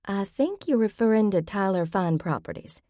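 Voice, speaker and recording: A woman voicing a gentle, agreeable middle-aged mother in an audiobook, speaking softly. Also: medium pitch, animated, moderate pace, no room sound, clean studio sound, voice pushed up, lightly acted.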